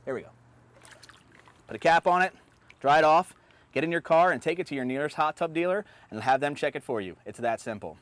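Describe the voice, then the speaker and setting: A man's voice: a little high, expressive, fairly quick, long pauses, a bit bright, dry recording. A man in his thirties hosting an unscripted how-to, talking step by step in an upbeat, plain way while working in a backyard. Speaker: host. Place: backyard outdoors beside a hot tub.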